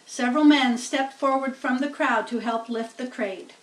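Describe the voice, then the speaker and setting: A woman's voice: sits high, normal speed. A woman reading a children's story aloud, narrating plainly and chatting to explain things as she goes. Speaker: storybook reader. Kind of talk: slow read-aloud narration with casual explanatory asides. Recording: roomy, distant-sounding home recording.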